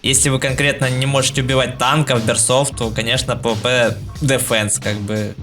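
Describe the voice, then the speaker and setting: Man, mid-pitched voice, speech impediment on L, informal gaming guide narration, slangy explanations, light background music. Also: little pitch movement, moderate pace. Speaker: video game guide creator. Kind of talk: casual scripted gaming tutorial narration.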